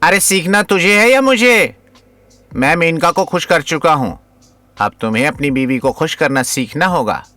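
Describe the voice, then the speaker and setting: A man's voice: on the high side, animated, normal speed, pushed and bright, dry recording. A man playing a confident, stern coach who gives commanding instructions in an audio drama. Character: Rishiraj, confident, stern male coach of intimacy. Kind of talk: Hindi audio drama acting. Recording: music behind the voice.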